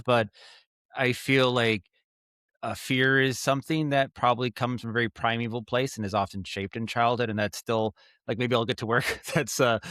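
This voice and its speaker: A man's voice: medium pitch, fairly bright tone, no echo. Rambling, thoughtful American man, dry close sound. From Maine, United States. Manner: rambling, thoughtful, repeats words as he thinks. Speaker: podcast guest, audio drama writer and director. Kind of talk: casual interview chat.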